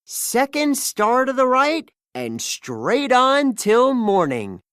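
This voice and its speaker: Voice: medium pitch, wildly swooping pitch, slow pace, a bit bright, smooth, no echo, studio quality, pitched above the performer's own voice, full character voice. A storybook narrator voicing a bold, cheerful boy hero in a rousing, exclamatory way. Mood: rallying.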